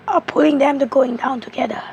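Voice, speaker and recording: A woman with a hoarse, strained voice slowly explaining tarot cards. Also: high voice, steady tone, a little mellow, dull audio, a little hiss or hum. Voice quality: rough, strained voice. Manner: slow, halting, repetitive explaining. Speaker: tarot card reader.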